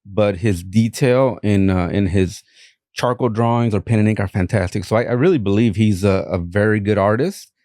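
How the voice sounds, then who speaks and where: Brief: Mexican-accented man, lowish warm voice, hesitant guest speaker on a dry mic. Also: no room sound. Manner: halting, many fillers and restarts. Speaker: art collector guest. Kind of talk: casual interview talk.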